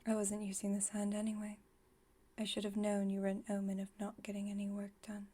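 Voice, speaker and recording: A woman playing an aloof, wry vampire friend with a secretly caring side, in a soft, breathy ASMR roleplay, speaking in a dry, teasing, unhurried way. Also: medium pitch, monotone, natural voice. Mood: wry, gently comforting. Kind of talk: ASMR roleplay, intimate monologue.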